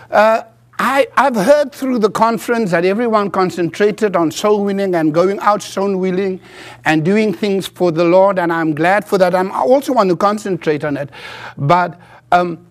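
High-voiced South African preacher. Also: male voice. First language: Afrikaans. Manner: earnest pulpit preaching with halting restarts. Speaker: guest preacher. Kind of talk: sermon. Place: church, from the pulpit.

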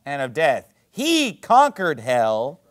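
High-voiced man preaching emphatically. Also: huge pitch swings, takes it slowly. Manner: emphatic preaching, swinging between loud peaks and low drops; sarcastic asides. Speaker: pastor. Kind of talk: sermon.

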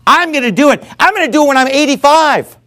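A man's voice: very high-pitched, expressive, quick pace, pitched above the performer's own voice, full character voice. A man acting out a bold, defiant 85-year-old warrior, speaking emphatically with a raised voice. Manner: emphatic, raised voice, near shouting.

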